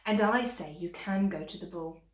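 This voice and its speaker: A woman voicing a kind, cheery old fairy godmother in a storybook reading, reassuring and gently bossy. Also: lowish voice, moderate pace, lightly acted. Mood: kindly. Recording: echoey room.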